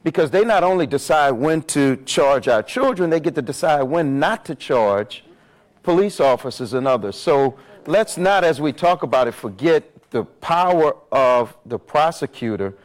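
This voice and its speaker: A man with a loud voice speaking on stage as a panelist, making emphatic points and repeating words as he builds his argument. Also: fairly high pitch, expressive, moderate pace. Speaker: panelist on justice reform. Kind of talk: impassioned panel talk. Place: on stage at a live event.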